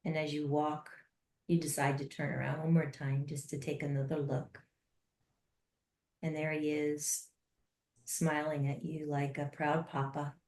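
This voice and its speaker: Woman, low voice, flat and even, slow soft guided meditation, roomy echo. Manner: slow, soft and unhurried with long pauses.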